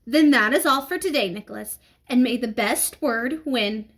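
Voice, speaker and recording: A woman reading the part of a strict female schoolteacher in a storybook, formal, measured and admonishing. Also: on the high side. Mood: measured, firm.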